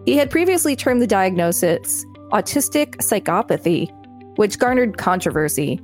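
A woman at a mid-range pitch reads an encyclopedia article aloud, steady and deliberate, then drops into a relaxed conversational tone for quick asides. A faint bed of music sits under her voice. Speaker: podcast co-host reading aloud. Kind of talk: reading an article aloud with casual commentary.

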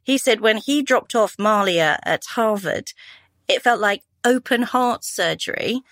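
A woman in her fifties co-hosting a relaxed podcast chat, talking freely and laughing easily. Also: on the high side, measured pace, no echo. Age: 50s. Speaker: podcast co-host. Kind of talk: casual chat. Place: home living room.

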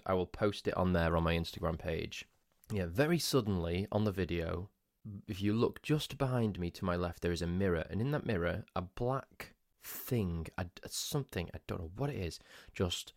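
Groggy deep-voiced man. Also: no room sound, clean studio sound. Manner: chatty, rambling with restarts, rhetorical questions. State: groggy, just woken up. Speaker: podcast host. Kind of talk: casual solo podcast storytelling.

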